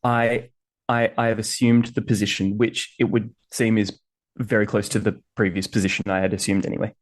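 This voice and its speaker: A man's voice: lowish voice, level tone, fairly quick. A man co-hosting a podcast in playful banter, joking and laughing often. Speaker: podcast co-host.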